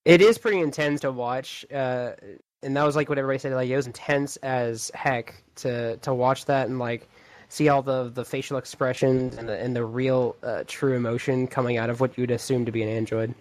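Halting podcast guy, dry sound. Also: medium pitch, steady tone, no echo. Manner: hesitant, halting with repeated words. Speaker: podcast co-host. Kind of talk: casual gaming chat.

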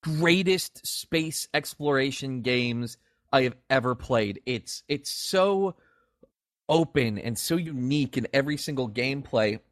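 A man's voice: mid-range voice, expressive, measured pace, no room sound, studio quality. A man co-hosting a casual podcast discussion about games, speaking in an enthusiastic, emphatic way. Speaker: podcast co-host.

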